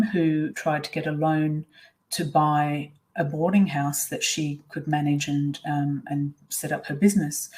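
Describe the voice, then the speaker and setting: A middle-aged woman, whose was Swiss German, telling her own story in a webinar, candid and reflective with many pauses and ums. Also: low-pitched, darkish tone, poor audio. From Australia. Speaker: webinar presenter.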